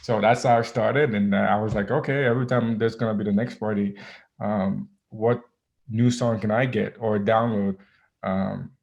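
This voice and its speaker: A low, gravelly-voiced man talks easily as a guest, drifting through ums and restarts. His voice comes through a dull, lo-fi recording. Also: normal speed. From Haiti. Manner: relaxed, many ums and restarts. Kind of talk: casual interview chat. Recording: dull lo-fi audio.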